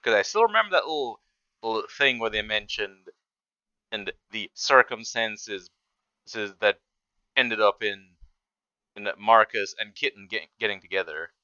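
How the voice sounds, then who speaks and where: A man giving casual reaction commentary as a host, speaking haltingly and often repeating words and phrases. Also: on the low side, bright, forceful tone, clean tone, no room sound, dull audio.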